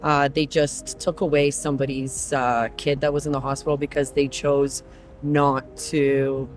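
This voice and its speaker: A man from Croatia who drives trucks, answering interview questions earnestly with frequent pauses. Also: medium pitch, level tone, soft background music.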